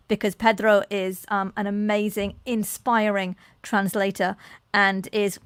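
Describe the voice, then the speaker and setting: A woman's voice: medium pitch, fairly bright tone, no echo, studio quality. A woman hosting a live online panel, effusive, with frequent restarts. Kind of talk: enthusiastic live-stream moderating.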